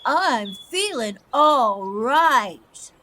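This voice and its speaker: Woman voicing a slow, drawling storybook turtle. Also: high voice, lively intonation, sharp tone, heavily acted. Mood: delighted. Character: frustrated, glum male turtle who turns carefree.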